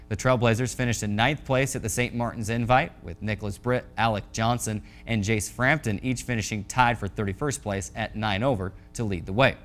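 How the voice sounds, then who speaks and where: A low-voiced American man presents a scripted sports roundup with polished announcer delivery. He speaks as the show's host, over an instrumental guitar music bed. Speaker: sports show host and play-by-play voice.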